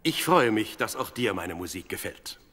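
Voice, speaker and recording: An actor playing a modest, polite young harpist in a German radio play. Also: male voice, on the low side, big pitch swings, normal speed, a bit bright, faint music behind.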